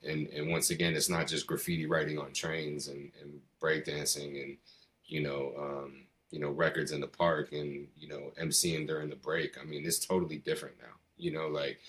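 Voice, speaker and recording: Very deep-voiced American man, rambling casually. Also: a bit bright. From US East Coast. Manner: slangy, rambling, peppered with "you know" and "man". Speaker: interviewed hip hop artist. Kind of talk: casual conversation.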